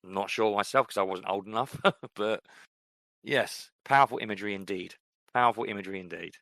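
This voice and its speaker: Male podcast co-host in his thirties; low, forceful voice, reflective and rambling. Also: fairly quick, vocal fry, no room sound. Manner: reflective, rambling with many fillers and restarts. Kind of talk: casual chat.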